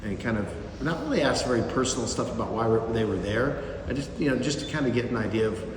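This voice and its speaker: A man's voice: mid-range voice, fast, recorded from a distance, background noise. Rambling man in an echoey hall. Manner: rambling with fillers and repeats, storytelling. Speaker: mission volunteer. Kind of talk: casual group recap.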